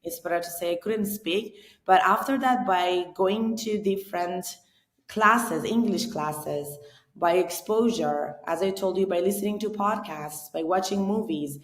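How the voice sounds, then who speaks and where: Her voice sits low, and an echo from the room hangs around it. She speaks English with a clear Iranian accent. She is a non-native speaker in a relaxed interview, earnest and unhurried, often pausing and restarting as she picks her words. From Iran. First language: Persian. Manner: earnest, with frequent restarts and pauses while searching for words. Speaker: English teacher, interview guest. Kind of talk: casual interview conversation.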